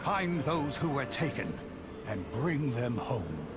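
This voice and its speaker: An actor playing an earnest, pleading heroic ally in a dramatic game cutscene. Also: male voice, medium pitch, very animated, slow pace, very noisy. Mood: urgent. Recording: loud cinematic music behind the voice.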